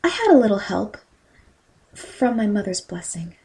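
A woman playing a sweet young maiden in a fairy-tale audio drama, earnest and expressive. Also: medium pitch, moderate pace, a little hiss or hum, poor audio. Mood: meek.

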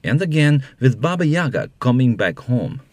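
A man acting as the measured storyteller of a fairy-tale audio drama, reading scripted narration. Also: mid-range voice, big pitch swings, darkish tone, no room sound, studio quality. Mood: narrating.

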